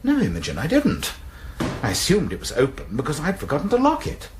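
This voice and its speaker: An actor playing a fussy male college librarian in a radio drama, formal, halting and apologetic. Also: fairly high pitch, huge pitch swings, noisy background. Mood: uneasy, apologetic. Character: fussy, donnish male college librarian, uneasily explaining himself.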